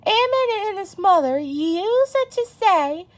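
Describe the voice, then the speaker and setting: A woman reading a children's story aloud as an amateur, haltingly and stumbling over words. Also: extremely high pitch, animated. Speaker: storybook reader.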